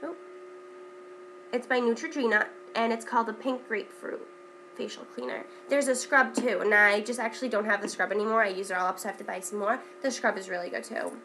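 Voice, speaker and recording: A woman's voice: on the high side, fairly even intonation, fairly quick, bright, forceful tone, slight background noise, low-quality recording. A young woman with a stuffy, congested voice, chatting casually as a beauty vlogger, with lots of ums and repeated words. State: congested from a cold. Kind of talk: casual makeup tutorial chat.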